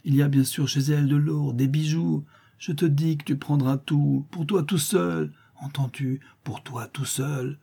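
A man's voice: fairly high pitch, steady tone, measured pace, creaky, warm tone, breathy edge, voice pushed up, exaggerated voice. A man doing a desperate, raving old brigand. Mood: pleading. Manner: pleading, raving. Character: blinded old brigand, desperate and half-mad.

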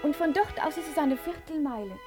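High-voiced shy young woman. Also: lively intonation, a little fast. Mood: shy, helpful. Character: shy young country woman answering a stranger. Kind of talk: German radio drama dialogue. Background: music behind the voice.

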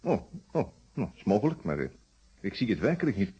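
Low, husky, doubtful gentleman. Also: big pitch swings, a little fast, dull audio, exaggerated voice. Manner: hesitant, doubtful. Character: sceptical lawyer friend, hesitant, mild-mannered man. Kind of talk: Dutch radio drama.

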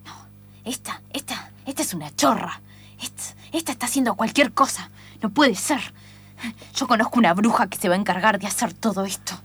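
A woman's voice: mid-range voice, fairly quick, a bit bright, slight background noise, lightly acted. A woman with an Argentine accent playing an insistent, envious, bossy client in improvised radio theatre. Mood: envious, indignant. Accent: Argentine (Rioplatense). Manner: dramatic, insistent.

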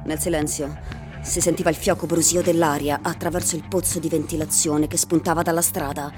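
A woman's voice: low voice, little pitch movement, fairly quick. A woman reading scripted literary narration for a radio drama. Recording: voice over faint music.

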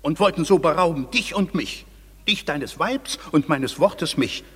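An actor playing a stern aging father and nobleman who is quick to anger, in a classic radio play. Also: a little high, animated, a bit bright, slightly rough, in a large hall, heavily acted. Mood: furious. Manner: vehement, demanding punishment. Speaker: father of a young woman.

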